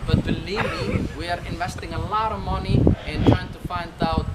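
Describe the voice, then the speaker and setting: Icelandic male tour guide, high-pitched, chatty, loud street noise behind. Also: sing-song, moderate pace. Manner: chatty, quizzes the group with questions. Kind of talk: guided walking tour talk. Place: outdoors on a city street.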